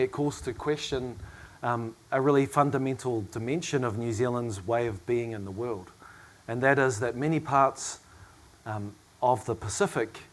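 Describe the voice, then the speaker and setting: A hoarse man in middle age chairs a panel, his voice worn thin by a cough. He speaks reflectively and at a measured pace, at an ordinary middle pitch for a man. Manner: reflective, measured, with soft asides and small laughs. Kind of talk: panel discussion remarks.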